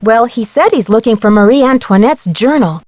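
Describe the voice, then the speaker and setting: The higher, close and dry voice of a level-headed girl sleuth reporting clues in a mystery adventure game. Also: expressive, moderate pace, a little mellow, no echo. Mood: matter-of-fact. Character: young female amateur detective, plucky, level-headed. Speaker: game voice actor. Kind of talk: scripted game dialogue.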